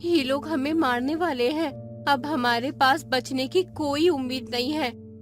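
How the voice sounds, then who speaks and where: Woman acting female space explorer, high-pitched, determined, earnest, animated story, prominent background music. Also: measured pace. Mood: despairing. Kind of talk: acted story dialogue. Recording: music behind the voice.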